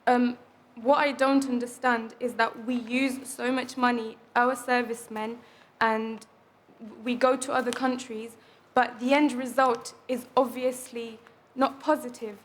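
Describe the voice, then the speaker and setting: A woman's voice: a little high, flat delivery, a little slow, slight background noise. A woman from Afghanistan speaking earnestly in a live audience debate. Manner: earnest, questioning. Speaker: audience member.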